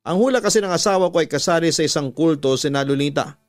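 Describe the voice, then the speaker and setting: A man's voice: on the high side, fast, no echo. Filipino male radio storyteller over music. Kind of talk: scripted narration of a listener's horror story. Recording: background music under a dry studio voice.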